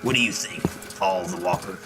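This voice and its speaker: A man's voice: low-pitched, normal speed. A man giving casual, rambling gameplay commentary as a streamer, jokey and profane. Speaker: video game streamer. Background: game music.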